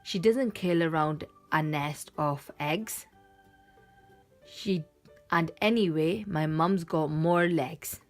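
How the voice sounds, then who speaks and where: A woman's voice: low voice, takes it slowly, faint music behind. A woman voicing a small lost monkey child in a children's storybook, protesting emphatically as it searches for its mum. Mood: plaintive, explaining. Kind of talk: children's picture book read-aloud.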